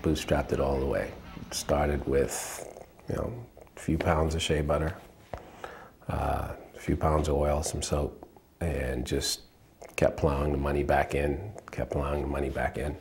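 Very deep-voiced man. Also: level tone, creaky, darkish tone, a little hiss or hum. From Liberia. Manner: measured, repeats phrases for emphasis. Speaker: company co-founder. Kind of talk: sit-down interview answers. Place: indoors, shop with product shelves.

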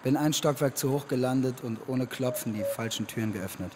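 A man's voice: mid-range voice, a little fast, background noise, natural voice. An actor in a radio play playing a cool man of thirty-three who answers in a clipped, matter-of-fact way.

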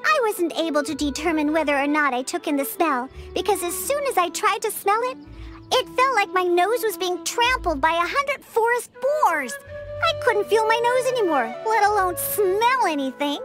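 A woman's voice: very high-pitched, animated, normal speed. A woman voicing a sassy, grumpy cat-eared little girl bartender in a video game, huffy and haughty. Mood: matter-of-fact, storytelling. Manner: huffy, haughty, emphatic delivery. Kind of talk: scripted video game dialogue. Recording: music behind. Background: background game music.

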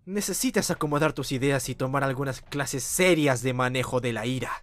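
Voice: medium pitch, a little fast, lightly acted. An actor voicing a fiercely protective young big brother hero in a cartoon game fandub. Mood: firm, earnest. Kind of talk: fandub character acting.

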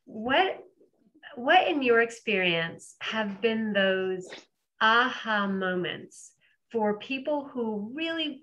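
A woman's voice: mid-range voice, a bit bright. A middle-aged woman moderates an online session, asking questions in a thoughtful, searching way and repeating herself as she finds the words. Her voice sits in an ordinary middle range over a webcam. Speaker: webinar host. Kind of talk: moderating a video-call Q&A. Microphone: webcam video call.

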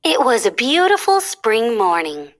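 A woman reading a children's storybook aloud as narrator, with expressive, deliberate delivery. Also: a little high.

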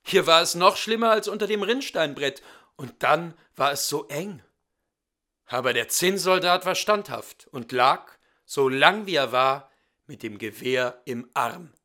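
A man reading a fairy tale aloud as a storyteller, with deliberate, theatrical and expressive delivery. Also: fairly high pitch.

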